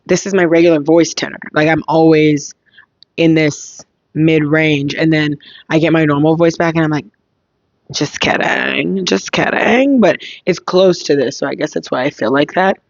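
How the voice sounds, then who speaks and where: Slightly hoarse young woman musing slowly. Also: deep voice, darkish tone, no echo, muffled recording. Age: young adult. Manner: reflective, thinking aloud. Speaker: podcast host. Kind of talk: casual solo podcast monologue.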